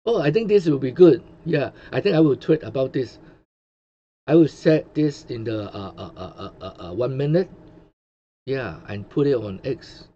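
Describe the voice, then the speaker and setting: A man's voice: mid-range voice, animated, moderate pace, warm tone. A man from Hong Kong with a Hong Kong accent, a Cantonese speaker hosting his own channel in English, chatting casually with many fillers and restarts. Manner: rambling, many fillers and restarts, chuckles often. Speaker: channel host. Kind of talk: casual commentary livestream. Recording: dull lo-fi sound with faint noise.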